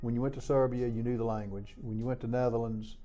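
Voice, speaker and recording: Mellow-voiced man asking short questions. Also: medium pitch, flat delivery, quick pace, highs cut off, soft background music. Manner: short, probing follow-up questions. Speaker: congressman hosting the interview. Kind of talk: interview questions.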